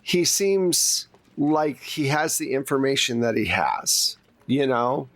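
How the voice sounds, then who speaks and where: A man's voice: medium pitch, sing-song, slow, fairly bright tone, dry recording. A man running a tabletop game as game master, narrating and explaining in a casual, conversational way.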